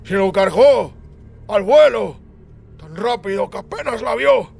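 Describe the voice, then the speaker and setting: Man acting a breathless, high-pitched frightened henchman. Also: lively intonation, unhurried, creaky, a little mellow, breathy edge, full character voice. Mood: panting, frightened.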